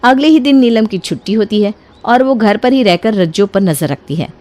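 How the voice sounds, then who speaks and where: A woman narrating a scripted story in an expressive storytelling manner. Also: medium pitch, moderate pace. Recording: music behind the voice.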